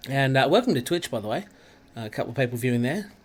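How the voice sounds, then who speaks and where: Australian man, stuffy nasal voice, live-stream host, chatty, greets viewers by name, mid pitch. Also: lively intonation, quick pace. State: congested, nasal from a cold. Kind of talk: casual live-stream chat over gameplay.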